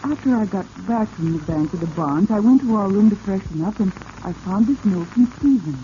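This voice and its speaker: Muffled vintage radio sound with some noise carries an unsuspecting, well-mannered wife played by an actress. Her voice is mellow and rounded, in 1940s radio drama style. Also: medium pitch, animated, a little fast, close to an everyday voice. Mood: earnest, puzzled.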